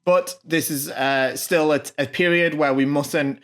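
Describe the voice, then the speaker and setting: A man hosting his own channel, translating a video message in an informal, off-the-cuff way, with many pauses, ums and restarts. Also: a little high, fairly even intonation. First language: not Japanese.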